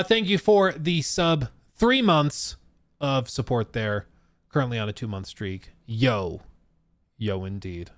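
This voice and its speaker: A man's voice: fairly high pitch, fairly bright tone, dry recording. A man hosting a casual livestream about gaming news, talking in an informal, slangy, chatty way. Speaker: podcast host.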